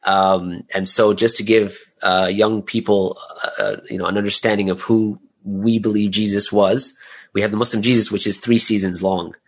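A man's voice: on the low side, fairly even intonation, normal speed. A man answering questions as an interview guest in a conversational, chatty way, often checking in with "right?" and "you know". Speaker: interview guest, audio drama creator.

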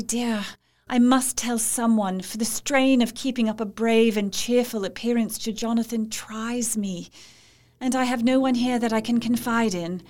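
A woman's voice: on the high side, darkish tone, close to an everyday voice. An actress playing a devoted, troubled young Victorian wife, reading out her letter in a tender, formal, confiding manner. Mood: confiding, strained. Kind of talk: dramatized letter reading in gothic audio drama. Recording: faint music behind. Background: music.

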